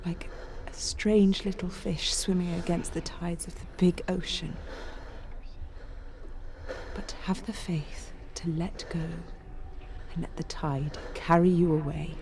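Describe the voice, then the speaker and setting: Consoling female guide for a video game, gentle and unhurried, softly hushed, faint noise behind. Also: on the low side, little pitch movement. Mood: tender, soothing. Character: gentle, consoling woman guiding a weary listener. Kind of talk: slow dramatic game dialogue.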